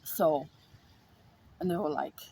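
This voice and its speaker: A woman's voice: low voice, slow, vocal fry, dark tone, slight background noise. A woman vlogger telling a personal story in a casual monologue, emphatic and repetitive, swearing freely. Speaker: spiritual vlogger.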